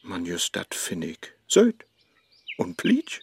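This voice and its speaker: An actor playing a clumsy, lovestruck male scholar who chases a woman, speaking with a Low German accent in a bumbling, eager, theatrical way, in a radio play. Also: wildly swooping pitch, slow pace, a little hiss or hum, exaggerated voice. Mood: flustered.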